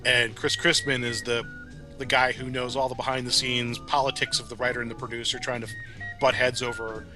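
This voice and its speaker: A man's voice: medium pitch, level tone, a little fast, music in the background, rough recording. A man speaking as a guest writer in a casual podcast discussion, articulate and enthusiastic. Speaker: guest, writer of audio dramas.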